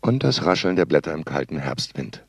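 An actor playing an eccentric sound artist, speaking in deliberate, terse fragments like taped announcements and spoken poetry. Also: male voice, extremely low pitch, big pitch swings, vocal fry, a little hiss or hum, exaggerated voice. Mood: tender, poetic. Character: eccentric male sound artist, cold commentator and tender poet.

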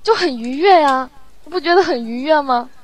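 High-voiced woman in casual chat. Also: expressive, a little fast. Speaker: audio drama post-production sound editor.

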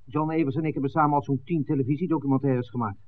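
An actor playing an earnest young documentary film-maker, tender and defiant, in a 1960s Dutch radio drama. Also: male voice, medium pitch, steady tone, rapid-fire, lightly acted. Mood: indignant. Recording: narrow-band 1969 radio recording, faint hiss.

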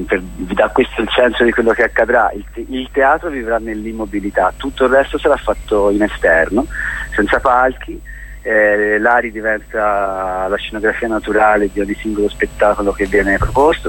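A man's voice: deep voice, fairly bright tone, husky, rough recording. A man explaining things off the cuff as a festival director in a radio interview, often restarting and piling up clauses.

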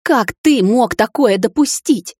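A woman's voice: fairly high pitch, expressive, unhurried, a little mellow, slightly rough, no echo, pitched above the performer's own voice. A woman voicing an enraged middle-aged mother who shrieks and hisses accusations, close to tears, in a dry close recording. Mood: furious. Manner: shrieking, hissing, near tears. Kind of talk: audiobook character voicing.

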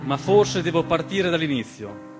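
A man delivering a scripted radio theatre monologue, measured and dramatic, with deliberate pauses. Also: a little high, animated. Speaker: stage storyteller and narrator. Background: piano music.

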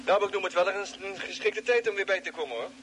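An eager, anxious crewman in an old space radio play. His voice is on the high side and his delivery is excitable and chummy. Noise is clearly audible on the recording. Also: fast. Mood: doubtful, wry. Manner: excitable, informal. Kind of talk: Dutch radio drama acting.

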